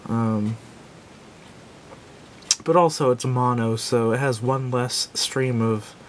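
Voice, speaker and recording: A man giving a casual, unscripted product review in a halting, self-doubting way, recorded indoors at a tabletop on a camera microphone. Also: steady tone. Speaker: gadget reviewer. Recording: clear background noise with low rumble.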